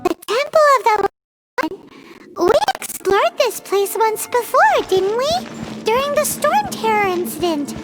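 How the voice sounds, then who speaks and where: A tiny, cheeky sprite with an extremely high, squeaky childlike voice that swings about excitably, drawing out words. It is an anime game companion, over very loud music. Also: female voice, a little slow, rough recording. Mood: curious, excited. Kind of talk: scripted anime game voice acting.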